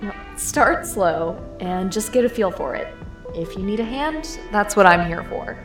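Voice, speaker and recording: A woman's voice: medium pitch, lively intonation, measured pace, lightly acted. A woman playing a cocky, encouraging burglar in an audio drama, with a casual, playful, self-assured delivery. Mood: reassuring. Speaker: voice actor in a fiction podcast. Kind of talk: naturalistic audio drama acting. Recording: roomy echo, music underneath.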